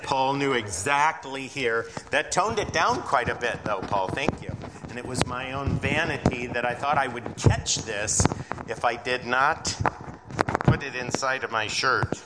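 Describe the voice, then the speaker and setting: A man's voice: medium pitch, measured pace, heavy background noise. Big-voiced male preacher in a reverberant hall. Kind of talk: evening Bible-teaching sermon. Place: large reverberant room with a congregation.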